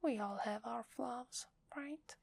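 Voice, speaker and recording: A woman with an Italian accent playing a loving, playful werewolf wife in a soft, breathy ASMR roleplay, tender and intimate in her delivery. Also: fairly high pitch, slow pace, no echo, full character voice. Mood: apologetic, remorseful. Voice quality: breathy, whispery.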